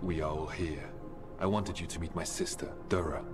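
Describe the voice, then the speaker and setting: A man's voice: extremely low pitch, steady tone, moderate pace, over music. A man voicing a dignified, formal dwarf in a video game, speaking in a stately way. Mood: dignified welcome. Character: deep-voiced dwarf man, dignified and formal, proud brother.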